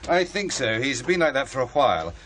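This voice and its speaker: An actor playing a brisk, unruffled male investigative reporter in a radio drama. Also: mid-range voice, sing-song, a bit bright, slight background noise. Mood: matter-of-fact.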